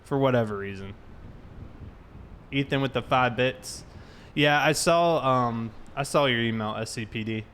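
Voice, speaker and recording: A man's voice: medium pitch, unhurried, a bit bright. A man chatting casually on a game livestream, greeting viewers in a friendly way. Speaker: live streamer playing a police roleplay game. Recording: clear background noise.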